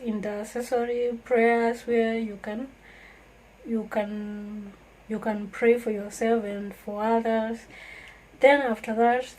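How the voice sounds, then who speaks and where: A woman's voice: on the high side, mellow, slight background noise, low-quality recording. A woman from Kenya, talking earnestly and reflectively to her viewers in a casual vlog. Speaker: vlogger. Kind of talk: casual talk to camera.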